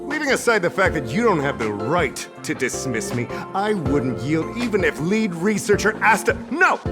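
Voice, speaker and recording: A man playing a vain, pompous professor in a video game, speaking dramatically and evasively. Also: sits high. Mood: defiant, indignant. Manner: pompous, dramatic, stammering when flustered. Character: vain, theatrical male professor, evasive and easily flustered.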